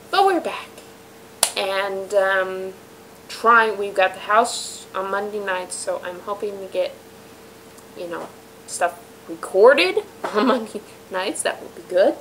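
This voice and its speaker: A woman hosting her home web show in a rambling, self-deprecating monologue, her voice raspy from being sick. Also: medium pitch, a little hiss or hum, rough recording. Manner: chatty, self-deprecating, many fillers and trailing asides. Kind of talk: rambling casual monologue to viewers. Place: room in a house.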